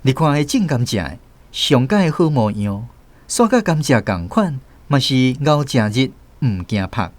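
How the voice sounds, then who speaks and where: Animated Taiwanese male storyteller. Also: medium pitch, moderate pace, no echo. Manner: expressive storytelling. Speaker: narrator and host. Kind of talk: scripted radio novel narration.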